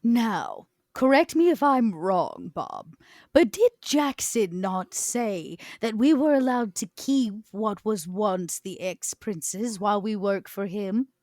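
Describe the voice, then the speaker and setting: A woman playing a poised, calculating vampire leader in a tabletop game, speaking in a measured, genteel, politely commanding way. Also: mid-range voice, sing-song, darkish tone, dry recording, clean studio sound. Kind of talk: improvised tabletop role-play.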